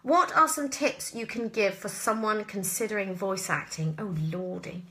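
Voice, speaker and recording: English female, bright tone, actress in informal live Q&A, chatty and rambling. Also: medium pitch, expressive, normal speed. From southwest England. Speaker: actress answering listener questions. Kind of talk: casual live Q&A chat.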